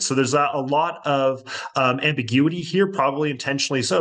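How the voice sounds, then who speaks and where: A male interview guest from a shooting sports association, explaining a bill in a steady, methodical way. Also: medium pitch.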